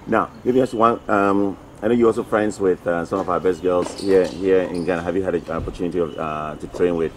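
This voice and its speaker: A middle-aged man hosting a casual interview at an outdoor tennis court, talking haltingly with many fillers into a table mic. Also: deep voice, fairly even intonation, slight background noise. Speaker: interview host, tennis federation president.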